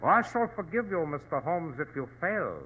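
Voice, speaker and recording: An actor playing a grave, imperious Chinese provincial governor, formal and courteously menacing, in old-time radio drama style. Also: male voice, on the high side, very animated, a little hiss or hum. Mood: formal, cool. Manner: formal, stately, deliberate. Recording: thin phone-like vintage radio recording.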